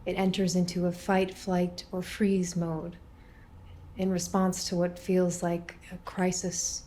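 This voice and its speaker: A woman playing a calm therapist in an audio horror drama, speaking in a measured, reassuring way. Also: on the low side, a little hiss or hum, natural voice. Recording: faint music behind the voice.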